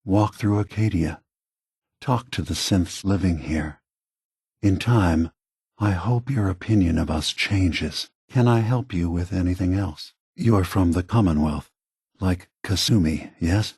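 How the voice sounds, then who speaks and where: An actor playing a gentle, weary, aged male synth leader in a video game, softly persuasive and deliberate, with a breathy, husky voice. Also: low-pitched, no room sound, studio quality, exaggerated voice. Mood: calm, reassuring. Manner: measured, deliberate, philosophical, reassuring.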